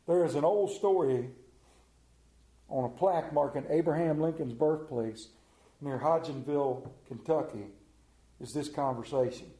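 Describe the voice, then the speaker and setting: A man's voice: on the high side, moderate pace, dark tone. A middle-aged man with an Appalachian accent, a television pastor preaching a sermon in a folksy, storytelling way full of rhetorical questions. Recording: roomy echo.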